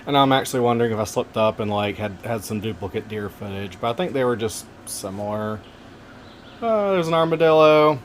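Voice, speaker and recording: A man with a relaxing voice casually narrating wildlife camera footage, gentle and folksy with joking asides. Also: medium pitch, animated, a little fast, slight background noise. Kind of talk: casual narration over video.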